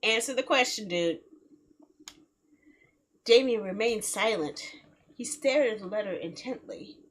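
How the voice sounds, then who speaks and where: A woman game streamer reading a visual novel aloud in a theatrical, expressive way, with playful asides. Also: mid-range voice, measured pace, slight background noise. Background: background music.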